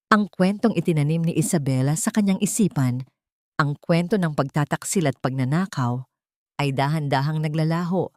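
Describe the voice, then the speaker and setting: Lowish-voiced woman narrating dramatic fiction. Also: darkish tone, dry recording, clean studio sound. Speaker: audiobook narrator. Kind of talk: dramatic scripted story narration.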